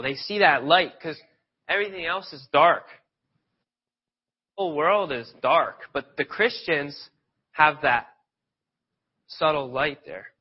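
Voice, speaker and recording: A man from near Philadelphia preaches in a loose, chatty way and often restarts his sentences. His voice sits a little high and he sniffles from a cold. The recording is dull and lo-fi. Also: husky. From Pennsylvania, near Philadelphia. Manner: conversational, loose storytelling with restarts. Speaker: lay preacher. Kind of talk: informal sermon. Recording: dull lo-fi sound.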